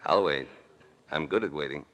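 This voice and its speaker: A man's voice: a bass voice, normal speed, a bit bright. An actor playing a suave, wry adventurer hero who narrates his own case in an old radio detective drama. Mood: flirtatious. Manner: smooth, wry, flirtatious, unflappable. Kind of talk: 1940s-50s radio detective drama acting and first-person narration.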